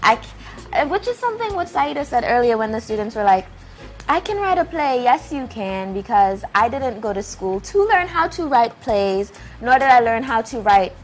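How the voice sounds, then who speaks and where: A woman's voice: fairly high pitch, very animated, normal speed, soft background music. A young woman from Trinidad and Tobago, raised mostly in the United States, chatting informally as an interview guest. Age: young adult. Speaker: playwright and filmmaker, interview guest. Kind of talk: casual conversational interview.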